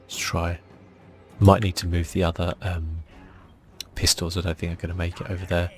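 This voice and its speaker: A man giving soft-spoken ASMR commentary as he plays a game, thinking aloud in a relaxed way. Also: low-pitched, moderate pace, soft background music. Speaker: gaming video host.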